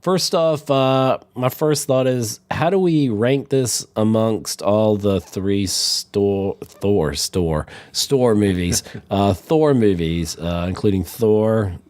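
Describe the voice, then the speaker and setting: A man with a cold hosting a podcast, talking in a deep, hoarse voice and rambling casually off on tangents. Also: takes it slowly, hardly any pauses, no room sound. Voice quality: deep, dark voice. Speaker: podcast host.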